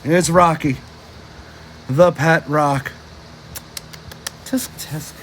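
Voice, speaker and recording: A man reading a children's storybook aloud in a playful, expressive, chatty way, with casual asides. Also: on the high side, extremely slow, slight background noise.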